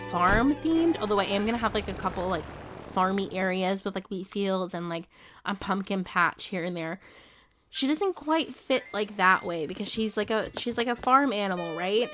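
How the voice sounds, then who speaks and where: A young woman chatting casually on a gaming live stream, rambling with lots of fillers and bursting into excited squeals, her voice still slightly hoarse. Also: medium pitch. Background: game music.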